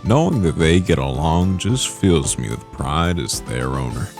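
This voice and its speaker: A man playing a gentle, modest young cowboy who tends animals, with folksy talk, in an amateur audio drama. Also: extremely low pitch, sing-song, a little slow, music in the background, full character voice. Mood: easygoing, proud.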